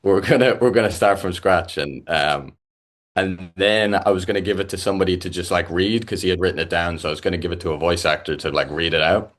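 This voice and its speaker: A young Irish man, a musician guest, chatting casually in an interview with lots of fillers and restarts. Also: low voice. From Ireland. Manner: halting, many fillers and restarts.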